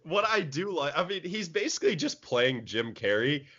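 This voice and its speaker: Animated man chatting on a lo-fi recording. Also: on the high side, moderate pace. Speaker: podcast co-host. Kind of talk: casual chat. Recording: dull lo-fi recording.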